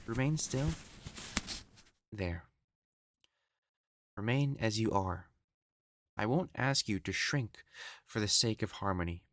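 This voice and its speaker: Man playing an elegant, slow-spoken, flustered matchmaker. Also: fairly low pitch, fairly bright tone. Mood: resolved, tender. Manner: formal, halting, stammering when flustered. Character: elegant, proper male matchmaker, flustered and secretly jealous. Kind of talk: dramatic scripted audio roleplay.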